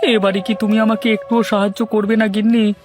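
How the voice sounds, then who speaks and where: A man's voice: high voice, flat delivery, a little fast, over music, close to an everyday voice. A man voicing a distraught, henpecked village servant who whines and pleads in a cartoon. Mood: dejected pleading. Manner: whining, pleading. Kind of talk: Bengali cartoon dialogue.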